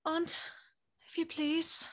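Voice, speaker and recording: A woman's voice: very high voice, completely flat delivery, slow, no echo. An actress playing a timid, curious, polite young servant girl in an audio drama, telling her story in a hesitant, wondering way. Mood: anxious, pleading. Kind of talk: audio drama acting with first-person storytelling.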